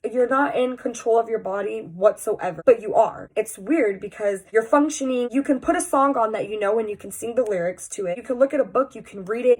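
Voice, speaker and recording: A woman's voice: medium pitch, breathy edge. A young woman with a stuffy, slightly nasal voice from a cold, speaking candidly and earnestly to her viewers in a personal vlog. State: congested, sounds nasal from a cold. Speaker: vlogger.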